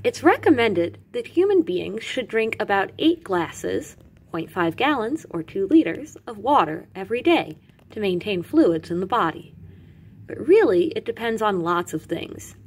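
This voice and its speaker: A woman reading educational material aloud as a teacher, with animated emphasis and carefully separated lists. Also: mid-range voice, a little slow. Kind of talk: expressive educational read-aloud.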